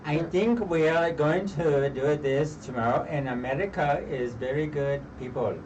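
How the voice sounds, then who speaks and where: Slow, stilted woman in a bad put-on Russian accent, played by a man; distant, muffled. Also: fairly high pitch, close to an everyday voice. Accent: put-on, poor Russian. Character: stiff foreign first-lady type, adult woman. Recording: distant, dull mic with faint noise.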